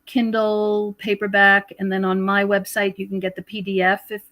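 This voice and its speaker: A mature woman talks as a guest in a conversational interview. She explains matters of faith earnestly, with a mid-pitched voice. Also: flat delivery, moderate pace, a bit bright. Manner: earnest, explanatory, quotes scripture. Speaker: podcast guest, author and researcher. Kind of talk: conversational spiritual teaching.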